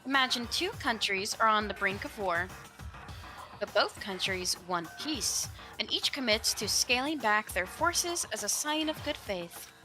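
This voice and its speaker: Woman playing a bright-voiced, composed intellectual student. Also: a little high, lively intonation, faint music behind. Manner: deliberate, explanatory. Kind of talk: reading visual novel dialogue in character.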